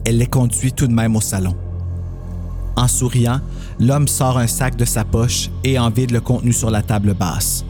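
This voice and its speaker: A man's voice: medium pitch, steady tone, moderate pace, music in the background. A man narrating a scripted horror story as a podcast host.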